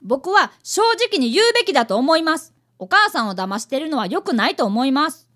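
Boy apprentice detective, about eleven: high voice, swooping intonation, earnest, well-mannered radio drama delivery. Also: big pitch swings, a little fast, a bit bright. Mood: earnest, indignant. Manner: earnest, polite, exclamatory. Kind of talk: radio drama acting and narration.

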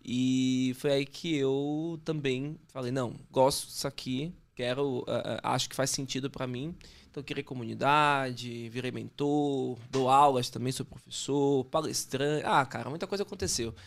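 Male, thirties, Bahian Brazilian accent, medium pitch, informal podcast guest, slangy, self-correcting, casual storytelling. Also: steady tone, moderate pace, creaky. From Salvador, Bahia, Brazil. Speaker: podcast guest, sales consultant. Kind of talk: casual interview chat, telling career story.

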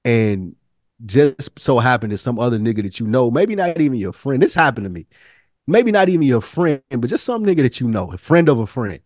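A man with an American accent chatting casually as a podcast co-host, telling things in a slangy, profane, animated way. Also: mid-range voice, brisk, dry recording, studio quality. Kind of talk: casual TV review podcast chat.

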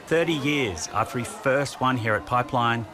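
A man giving scripted, measured narration for a sports documentary. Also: medium pitch, background noise. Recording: narration over loud music.

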